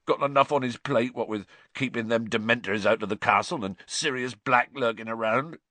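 A man voicing a huge, soft-hearted gamekeeper who sobs and talks in a rough rustic dialect. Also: mid-range voice, normal speed, dry recording, pitched above the performer's own voice, natural voice. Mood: sobbing.

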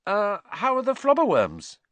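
A man's voice: high voice, wildly swooping pitch, moderate pace, a bit bright, no room sound, pitched above the performer's own voice, exaggerated voice. A sheepish teenage schoolboy, hesitant and mumbling, as a male reader puts him on. Voice raised well above the reader's own. Dry, close sound.

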